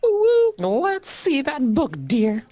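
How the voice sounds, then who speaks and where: Woman playing a high-pitched, animated, coaxing lady. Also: slow pace, no echo, heavily acted. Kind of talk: playful radio play reading.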